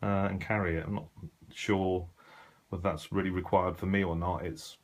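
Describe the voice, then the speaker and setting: A man's voice: sits low, level tone, normal speed. A British man giving casual, unscripted commentary as a hobbyist showing his gear, talking in a hesitant, rambling, conversational way. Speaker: shortwave radio hobbyist presenting his gear.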